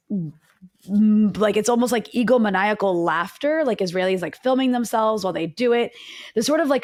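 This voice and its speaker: A woman hosting an interview, halting, with lots of restarts and "like" fillers. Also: mid-range voice. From United States. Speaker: interview host. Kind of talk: conversational interview questions.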